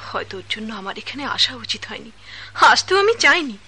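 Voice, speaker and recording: A woman's voice: on the high side, sing-song, quick pace, sharp tone, husky, full character voice. An actress playing a poor young woman who pleads, hesitant and desperate, for her money back. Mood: anxious. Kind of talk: radio drama acting.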